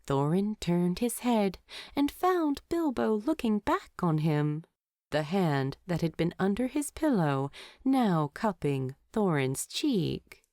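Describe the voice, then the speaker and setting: A woman's voice: fairly low pitch, very animated, slow pace, a little mellow, dry recording, studio quality. A woman narrating a scripted story as an audiobook-style reader.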